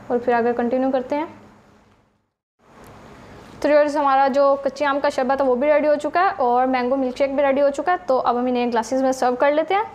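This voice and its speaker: A woman's voice: sits high, a little mellow, slight background noise. A woman hosting a home cooking channel with a Pakistani Urdu accent, patiently explaining each recipe step to her viewers.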